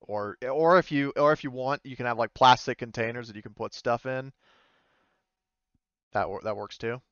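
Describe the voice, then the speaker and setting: Halting man, dry and slightly muffled. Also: mid-range voice, a bit bright, smooth, no echo. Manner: hesitant, halting, self-correcting. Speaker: game master. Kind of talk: casual narrating of an online tabletop game. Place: online voice call.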